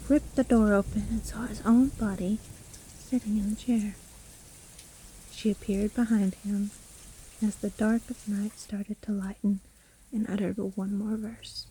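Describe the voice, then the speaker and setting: A woman playing a sly, teasing witch storyteller in a fantasy audio roleplay, speaking in a soft, breathy, theatrical and condescending way. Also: mid-range voice, a little hiss or hum, natural voice, low-quality recording. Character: sly, gloating witch storyteller toying with her trapped victim.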